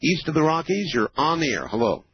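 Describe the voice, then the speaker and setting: Man, 50, radio call-in host, measured and explaining, dull lo-fi broadcast, faint hiss. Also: fairly high pitch, sing-song. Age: 50s. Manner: measured, explaining, many filler pauses. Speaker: radio talk show host. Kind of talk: late-night call-in radio.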